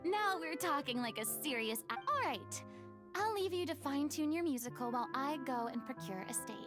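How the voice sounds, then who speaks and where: High-pitched flamboyant young female performer, very animated, confident, teasing, theatrical game character, music behind. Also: brisk. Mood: playful, flamboyant. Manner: dramatic, self-assured, teasing. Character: theatrical, confident young woman, grand and playful star performer. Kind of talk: video game voice acting. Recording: music under the voice.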